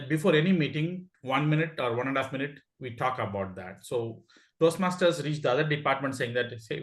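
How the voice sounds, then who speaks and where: A man with an Indian accent eagerly sharing a story on a video call, laughing and restarting his sentences. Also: a little high. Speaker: club member sharing a story. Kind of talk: casual meeting talk. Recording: echoey room.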